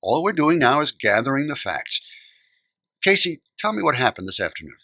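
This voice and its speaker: A kindly older gentleman in a radio drama, patient and reassuring as he questions children. His bright voice moves expressively and sounds close and dry. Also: medium pitch, sing-song, moderate pace, dry recording, heavily acted.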